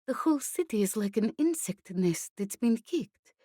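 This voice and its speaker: A woman narrator plays a grim, weary woman operative in an audiobook. Her voice sits higher, earnest and measured, on a very dry recording. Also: expressive, mellow, no echo. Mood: grim, uneasy. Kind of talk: audiobook character voice.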